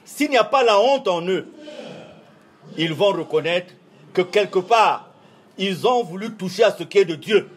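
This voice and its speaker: A man whose first language is not French, giving a formal interview statement as a church official, speaking in a measured, oratorical way with repeated emphasis. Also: high voice, lively intonation, husky.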